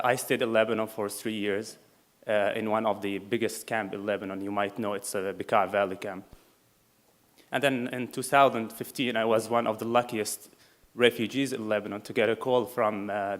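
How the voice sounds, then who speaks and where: Syrian-accented man speaking in measured steps. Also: lowish voice. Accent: Syrian Arabic. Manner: measured, with many filler pauses. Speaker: refugee speaker at a meeting. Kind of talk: prepared public talk.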